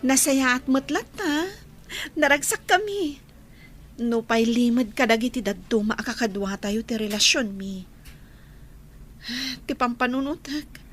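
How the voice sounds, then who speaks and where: A woman's voice: fairly high pitch, animated, measured pace, pauses often, a little hiss or hum. An actress playing a young woman in love, with a Filipino accent, in an audio drama. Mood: reflective. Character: Emma, young woman in love, anxious about a rival. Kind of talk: Ilocano-language audio drama acting.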